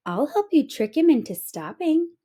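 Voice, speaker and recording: A woman voicing a kind, clever little hedgehog in a storybook, gentle and reassuring. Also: sits high, sing-song, normal speed, voice pushed up. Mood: sympathetic.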